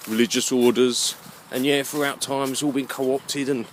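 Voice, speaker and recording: A man giving a rambling, unscripted monologue in a halting, musing way, often repeating his phrases. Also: mid-range voice, level tone, slight background noise. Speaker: vlogger.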